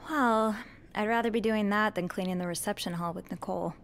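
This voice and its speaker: A woman's voice: medium pitch, fairly even intonation, brisk, a bit bright, natural voice. A woman voicing a reserved, curious hotel maid in a narrative video game. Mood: hesitant, reserved. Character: young female hotel maid, nosy, reserved, soft-spoken.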